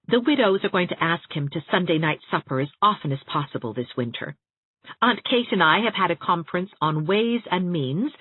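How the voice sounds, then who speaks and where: A woman's voice: sits low, breathy edge, no echo. A woman narrating an audiobook, reading scripted story prose expressively. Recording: narrow, phone-like audiobook sound.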